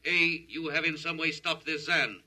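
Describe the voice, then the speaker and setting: An actor playing an emotionless immortal alien invader in a 1950s radio drama, speaking in a clipped, formal, authoritative way. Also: male voice, a little high, steady tone, moderate pace, bright, forceful tone. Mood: cold, pronouncing. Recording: noisy vintage 1950s radio recording.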